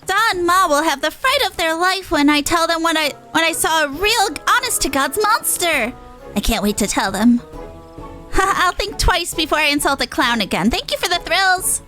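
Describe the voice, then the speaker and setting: Woman as a high-pitched bold little girl. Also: animated, normal speed, bright, forceful tone, soft background music, full character voice. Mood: thrilled fright.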